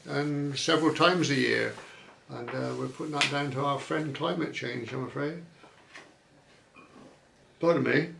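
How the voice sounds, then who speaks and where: Gravelly man chatting through viewer comments. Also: fairly high pitch, fairly bright tone, roomy sound. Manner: chatty, musing aloud, many "uh" fillers. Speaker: vlog host reading viewer comments. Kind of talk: casual chat.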